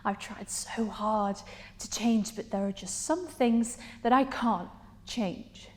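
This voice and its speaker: A wounded, defiant young woman, played by a woman, speaks in a dramatic stage monologue. Her voice is mid-pitched and very slow, with deliberate pauses and emphatic articulation on key words. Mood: hurt defiance.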